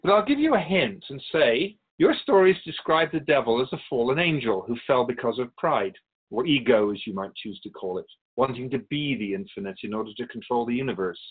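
A man playing a wise, gentle, cryptic otherworldly keeper of souls in a radio drama, speaking in a measured, courteous, philosophical way. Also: mid-range voice, expressive, slightly rough, phone-quality sound. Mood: cryptic, teaching.

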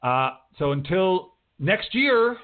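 A man's voice: high voice, big pitch swings, slow pace. Male host on a thin, phone-like line. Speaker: talk show host, writing teacher. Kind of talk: casual interview chat. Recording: thin phone-like radio call audio.

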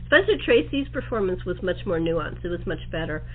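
A woman's voice: fairly low pitch, big pitch swings, fairly quick. Woman hosting over a thin phone-like line. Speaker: talk show host. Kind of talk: casual interview chat. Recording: thin, band-limited phone-like sound.

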